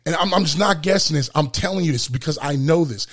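A man hosting a solo motivational podcast with a booming voice, speaking emphatically and repeating phrases for effect. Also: fast talker, a bit bright. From lives in Florida. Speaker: podcast host.

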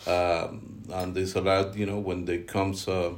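A middle-aged man from Colombia with a Colombian Spanish accent, a podcast guest telling his story in halting speech with many fillers and restarts. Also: sits low, level tone. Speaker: guest, team leader. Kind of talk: podcast interview.

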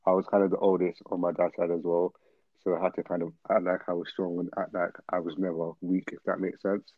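A man's voice: sits low, level tone, a little fast, no echo. A nineteen-year-old man, talking casually and reflectively as a guest on a thin recording. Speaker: podcast guest, student. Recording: thin phone-like narrow band.

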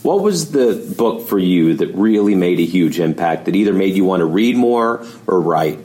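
Deep-voiced male interview host. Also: expressive, moderate pace, warm tone. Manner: long, framed questions. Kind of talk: podcast interview questions.

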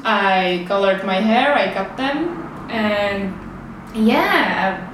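A young Czech woman chats slowly to her camera, full of fillers and little laughs. She sounds set back from the mic in a bare, strongly echoing room. Faint traffic hums from outside. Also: mid-range voice, animated. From Czech Republic. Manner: chatty, rambling, laughs often, fillers like "like". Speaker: vlogger. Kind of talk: casual monologue to camera. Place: small studio with street traffic outside. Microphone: camera's built-in mic, no external microphone. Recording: roomy, distant camera mic with faint street noise.

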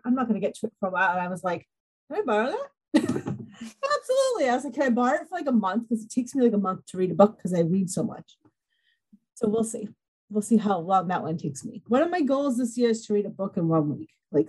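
A woman's voice: mid-range voice, a little mellow, slightly rough, low-quality recording. A woman hosting a podcast, chatting in a bubbly, self-deprecating way and laughing often. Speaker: podcast host. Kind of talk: casual interview chat.

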